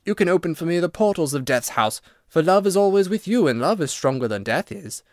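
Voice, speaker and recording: A man's voice: a little high, lively intonation, a little fast, no room sound, voice pushed up. Casting: male reader playing an ancient storybook ghost, mournful, dreamy, dry close sound. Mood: wistful, solemn. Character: weary, sorrowful old male ghost, centuries old. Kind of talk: storybook narration character.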